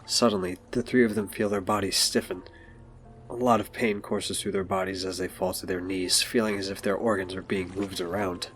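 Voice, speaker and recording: A man's voice: low-pitched, little pitch movement, normal speed, a bit bright, soft background music. A man delivering scripted narration as the narrator of an audio drama.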